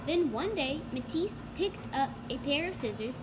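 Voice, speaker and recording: A woman's voice: sits high, animated, normal speed. A female student reading a children's storybook aloud, with noise behind the voice. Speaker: student reader. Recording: clearly noisy background.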